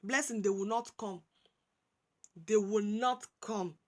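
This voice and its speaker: A Nigerian woman with a high voice, giving animated casual commentary that slides between English and Pidgin, with frequent restarts and repeated words. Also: slow pace. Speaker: commentary vlogger.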